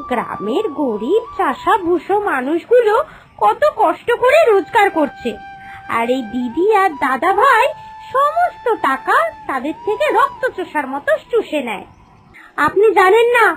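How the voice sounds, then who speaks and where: A woman voicing a spiteful, sharp-tongued young sister-in-law in a cartoon, taunting and argumentative. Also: very high-pitched, heavily acted, rough recording. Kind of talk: Bengali cartoon voice acting.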